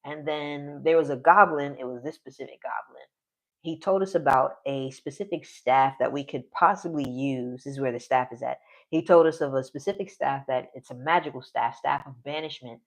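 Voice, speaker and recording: A man's voice: mid-range voice, moderate pace, gravelly. A man in his forties casually narrating his solo tabletop game on a live stream, rambling, trailing off mid-sentence and laughing often. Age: 40s.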